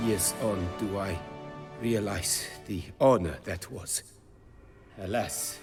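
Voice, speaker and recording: Proud old-world armorer in a game, formal storyteller, slow and really expressive, music behind. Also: male voice, medium pitch. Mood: wistful, proud. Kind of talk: video game dialogue.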